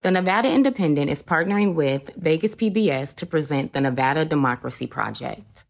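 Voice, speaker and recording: A woman reporter reading an event promo in a measured announcing way. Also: low voice, animated, vocal fry. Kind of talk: read promo.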